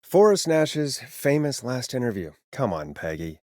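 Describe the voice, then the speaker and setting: An actor playing a wry, jaded male radio phone-in host in a video game, sardonic and expressive, moving between showy patter and casual talk. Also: mid-range voice, unhurried, no echo, clean studio sound. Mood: weary, reluctant. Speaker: late-night radio host.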